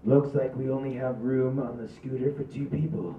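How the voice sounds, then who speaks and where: An actor at an amateur table read playing a brash, self-important failed magician with a snide, heckling manner. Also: male voice, mid-range voice, fairly even intonation, moderate pace, a little hiss or hum, natural voice. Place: small stage with green backdrop. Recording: roomy, distant, phone-like audio.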